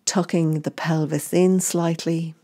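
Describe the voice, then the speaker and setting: Female meditation guide. Deep, soft-toned delivery, very measured, with gentle phrase-by-phrase instruction. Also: flat delivery.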